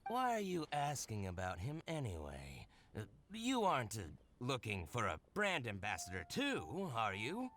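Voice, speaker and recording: A man playing an excitable, scheming, possessive merchant with a theatrical, emphatic delivery in a video game. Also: mid-range voice, normal speed, few pauses, slight background noise. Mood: suspicious, possessive. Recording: game music behind the voice.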